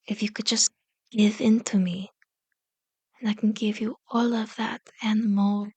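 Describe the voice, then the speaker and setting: A woman's voice: mid-range voice, little pitch movement, a little mellow, breathy edge, dry recording. A woman playing a powerful, manipulative female vampire in an ASMR roleplay, seductive and condescending, slipping into whispers as she commands and coaxes. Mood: seductive persuasion. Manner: slow, commanding, mock-sweet taunting with whispered words.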